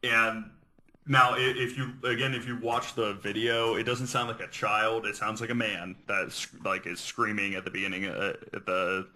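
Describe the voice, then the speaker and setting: Male podcast host. Sharp, very bright voice on the low side, casual and conversational, feeling his way through a story. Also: little pitch movement, normal speed, a little hiss or hum, low-quality recording. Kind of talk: casual conspiracy-theory podcast chat.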